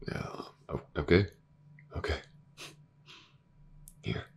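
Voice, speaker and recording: A man playing a gentle, reassuring detective in an intimate audio roleplay, speaking softly and hesitantly, often near a whisper. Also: slow pace, close to an everyday voice. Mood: hesitant, tender. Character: gentle, reassuring male detective with an injured eye.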